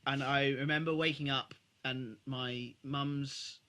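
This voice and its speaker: A man with a British accent, co-hosting a podcast in casual, informal and animated chat. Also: mid-range voice, slow pace. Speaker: podcast co-host.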